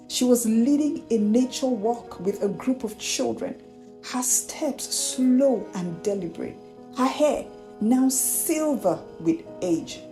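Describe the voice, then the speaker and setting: Slow-spoken woman storyteller over music. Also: on the high side, warm tone. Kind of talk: scripted storytelling narration of a folktale. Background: background music.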